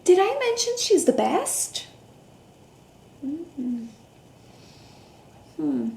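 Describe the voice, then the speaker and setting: A woman reading a picture book aloud to children, expressive, with questions, gasps, hums and hushed asides. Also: high voice, drawn-out words, vocal fry. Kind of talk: interactive children's read-aloud with questions to young listeners. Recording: roomy echo, faint background noise.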